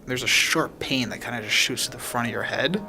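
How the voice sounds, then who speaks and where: A man's voice: medium pitch, fairly quick, creaky, bright, forceful tone, faint music behind, rough recording. A man acting as game master, improvising casual tabletop narration and searching for words as he goes.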